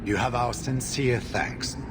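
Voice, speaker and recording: A man playing a grave, courteous warrior in a video game, speaking in a formal, measured and resolute way. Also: mid-range voice, over loud music, close to an everyday voice.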